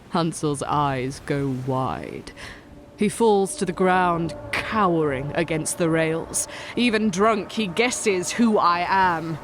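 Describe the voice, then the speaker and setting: A woman performing a cold, vengeful witch who narrates her own dark tale in a deliberate, intense, dramatic way. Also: fairly low pitch, slight background noise. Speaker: story narrator. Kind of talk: dramatic first-person scripted narration.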